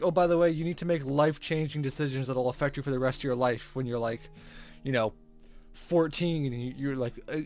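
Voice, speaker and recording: A man with a slightly high voice hosts a casual let's play over soft game music. He chats in rambling asides and reads the on-screen story text out loud. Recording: music playing behind the voice. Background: video game background music.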